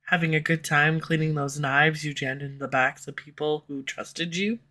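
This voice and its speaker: A woman's voice: low voice, fairly even intonation, normal speed, bright, forceful tone. A woman voicing a sarcastic snarky villain. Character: snarky sharp-tongued villain, slightly tsundere. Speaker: audio roleplay voice actor. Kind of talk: scripted audio roleplay. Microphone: USB condenser mic.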